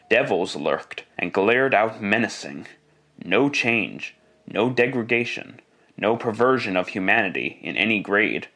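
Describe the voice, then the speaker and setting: A man with a fairly low voice narrates a classic radio-style drama. His storytelling is theatrical and deliberate, with carefully shaped phrases. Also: pushed and bright.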